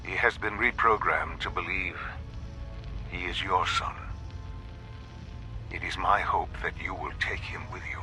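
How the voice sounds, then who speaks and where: A weary old father in a game cutscene. His voice is very low with a crisp, forceful edge. He speaks in a grave, measured, formal way, as if leaving his final message. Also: steady tone, soft background music, full character voice. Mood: grave, pleading.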